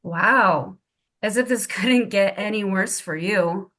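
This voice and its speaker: A woman acts out a cold woman official in a drama table read. Her medium-pitched voice is formal and pointed, with a confrontational edge. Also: takes it slowly, a bit bright. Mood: snide.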